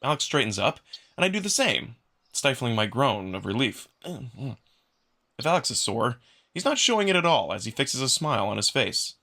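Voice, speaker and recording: A man's voice: medium pitch, very animated, moderate pace, sharp tone. A man giving a dramatic first-person narration of a visual novel, acting as narrator. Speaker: narrator and voice actor.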